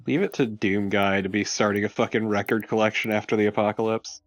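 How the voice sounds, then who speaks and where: A man's voice: fairly low pitch, fairly even intonation, fairly quick. A man co-commentating on a video game, chatting casually with sarcastic banter among friends.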